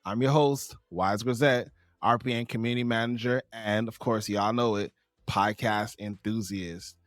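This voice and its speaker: A man's voice: lowish voice, normal speed, no room sound, studio quality. A man from New York and Barbados hosting a podcast interview in an upbeat, promo-style patter. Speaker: podcast host.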